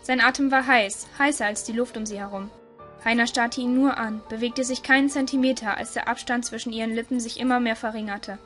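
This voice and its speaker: A woman's voice: fairly high pitch, fairly even intonation, brisk, a bit bright, soft background music. A woman reading scripted story narration for an audio drama.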